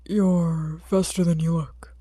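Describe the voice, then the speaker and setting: A woman voicing a gruff, tired adult male hero in an audiobook, grumbling in a curt deadpan. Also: on the low side, takes it slowly, no room sound. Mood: weary, suspicious. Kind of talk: audiobook character acting.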